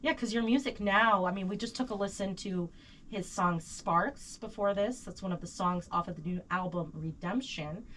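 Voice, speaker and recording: A woman's voice: medium pitch, normal speed, fairly bright tone, a little hiss or hum. A woman interviewing a guest in a casual, conversational way as a radio host.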